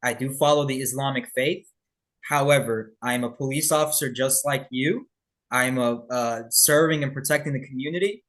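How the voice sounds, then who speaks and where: Guy, ex-cop guest, talks slow and thoughtful, mid voice. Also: medium pitch, a bit bright. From Birmingham, Alabama. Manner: measured, reflective, with restarts. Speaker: guest, former police officer. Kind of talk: interview answers.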